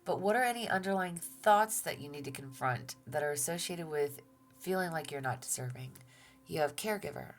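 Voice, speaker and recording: A woman giving a gentle tarot reading, soft-spoken and reassuring, who often drops to a near-whisper and talks through a stuffy nose. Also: sits low, lively intonation, a little fast, faint music behind. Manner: gentle and reassuring, with fillers and restarts. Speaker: tarot reader. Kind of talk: relaxed tarot reading to viewers.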